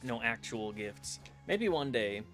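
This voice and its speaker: A man's voice: mid-range voice, sing-song, slow pace, fairly bright tone. Man chatting over game music. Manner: reflective, talks to chat while playing. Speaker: streamer. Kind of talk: casual gameplay stream chat. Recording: game music playing behind.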